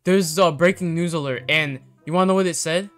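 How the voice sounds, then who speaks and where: A young man acting as an excitable zombie apocalypse survivor in an amateur game roleplay. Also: on the high side, brisk, soft background music, natural voice. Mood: uneasy, casual. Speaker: lead player in a game roleplay video.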